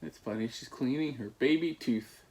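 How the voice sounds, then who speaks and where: A man's voice: medium pitch, normal speed, darkish tone, a little hiss or hum. A man reading a children's storybook aloud expressively, with simple asides for young listeners.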